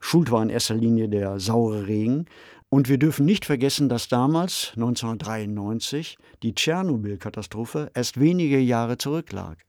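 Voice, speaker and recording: A man's voice: medium pitch, a little mellow, dry recording. Middle-aged man, thoughtful, in a dry studio. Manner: measured, explanatory, reflective. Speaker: podcast co-host and author. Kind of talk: thoughtful podcast discussion.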